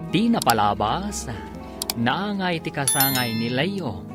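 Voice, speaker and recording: A man's voice: mid-range voice, very animated, takes it slowly. A man announcing and narrating a radio drama in a dramatic, scripted style. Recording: music bed under the narration. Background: prominent theme music.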